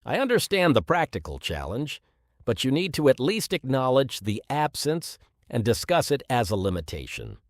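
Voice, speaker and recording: A man's voice: medium pitch, huge pitch swings, moderate pace, dry recording, clean studio sound. A man playing an exacting academic supervisor in a scripted listening exercise, speaking precisely and with authority. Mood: measured, approving. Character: male professor supervising students, exacting and measured. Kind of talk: scripted exam listening dialogue.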